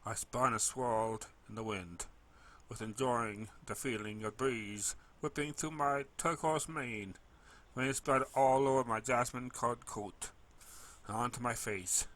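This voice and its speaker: A man reads a first-person story as its narrator. His mid-range voice is bright and forceful, and he delivers the lines expressively, occasionally stumbling over a word. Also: medium pitch.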